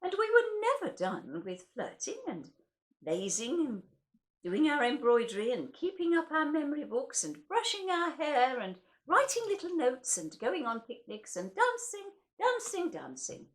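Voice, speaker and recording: Highly expressive woman reading poetry. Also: measured pace. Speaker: host and reader of poetry. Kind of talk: expressive poetry recital with casual introductions.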